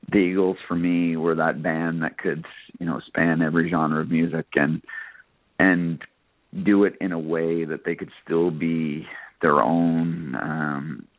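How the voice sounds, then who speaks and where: A man's voice: a bass voice, no echo. A man giving casual, conversational interview answers with frequent verbal hedging, as a guest musician. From Nova Scotia, Canada. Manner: hesitant, conversational, peppered with "you know". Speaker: interview guest, country singer and guitarist. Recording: narrowband phone-like radio line.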